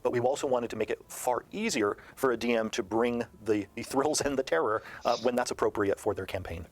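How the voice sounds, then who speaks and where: Male game designer, mid-range, brisk and articulate, explanatory discussion. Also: mid-range voice, crowd noise. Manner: articulate, long explanatory sentences.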